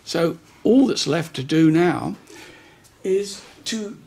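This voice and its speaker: A man talks through a practical job as he does it, in a slightly high voice with a husky grain. His delivery is very slow and unscripted. He often pauses partway through a sentence while he works. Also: animated, mellow. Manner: unhurried, pausing mid-sentence while working. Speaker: host of a hi-fi repair channel. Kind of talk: unscripted hands-on demonstration commentary.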